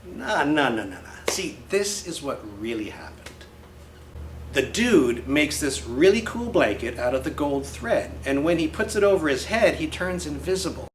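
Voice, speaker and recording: A man's voice: on the high side, very animated, normal speed, slight background noise. A man reading a picture book aloud in character as a boy, with brash, slangy, emphatic delivery. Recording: roomy echo with faint music.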